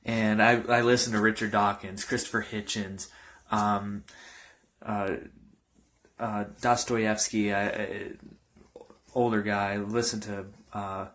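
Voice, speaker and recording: A man in his early twenties, a pastor giving an informal personal testimony in a halting, loosely rambling way. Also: fairly low pitch, flat delivery, fairly bright tone, slight background noise. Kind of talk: informal testimony talk to camera.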